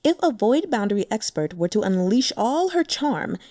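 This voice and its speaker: A woman reading a translated fantasy novel aloud as an audiobook narrator, expressive and voicing the characters. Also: a little high, normal speed, no room sound, studio quality.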